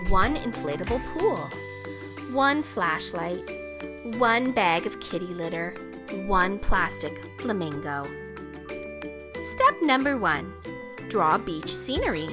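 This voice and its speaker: A woman reads a picture book aloud for young children. She takes her time, with a highly animated pitch that rises and dips for emphasis. Children's music plays clearly behind her voice. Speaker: children's story reader.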